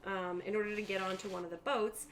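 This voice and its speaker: A woman's voice: medium pitch, steady tone, quick pace, noisy background. A woman narrating a tabletop roleplaying game as game master, explaining things in a conversational way.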